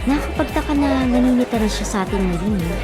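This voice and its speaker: A woman playing a young, caring and teasing friend in a radio drama, chatty and expressive. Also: on the high side, fast talker, close to an everyday voice. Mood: teasing, concerned. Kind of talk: Tagalog radio drama acting. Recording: music and crowd behind. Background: music, crowd chatter.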